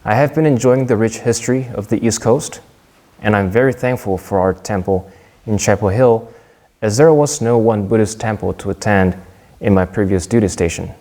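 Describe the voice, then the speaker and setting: A young man from Korea giving a prepared Dharma talk in a measured, earnest way, with a few small restarts. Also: fairly low pitch, dark tone.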